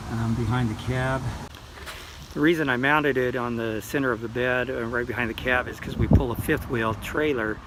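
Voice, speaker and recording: Older male DIY vlogger, mid-pitched, casual and unhurried, recorded with noticeable ambient noise. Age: older, a grandfather. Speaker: vlog host showing a DIY install. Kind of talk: casual unscripted how-to talk. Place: outdoors in a parking lot, around a truck. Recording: clear car and ambient noise.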